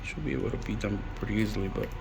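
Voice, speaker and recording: A man giving a casual, unscripted hands-on installation tutorial, speaking in a halting way with frequent pauses mid-sentence. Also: fairly low pitch. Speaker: installer presenting a how-to. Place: on an installation job site. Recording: clearly noisy on-site recording.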